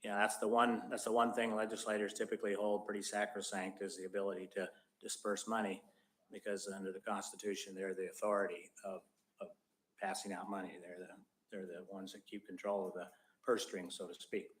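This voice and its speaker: A man serving as mayor, giving a spoken update at a public meeting in a halting way, with many restarts and fillers. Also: sits low, level tone, fairly bright tone, rough recording.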